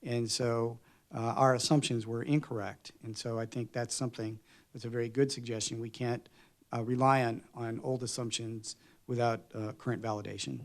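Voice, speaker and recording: A man speaks as a candidate at a public forum in a measured way, pausing often with fillers. His pitch is in the middle range. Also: fairly even intonation. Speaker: board candidate.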